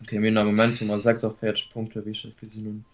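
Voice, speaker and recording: A man's voice: lowish voice, lo-fi sound. A man chatting casually with teammates during an online race, calling out plays and laughing often. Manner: excited, laughing, quick game callouts. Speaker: team player.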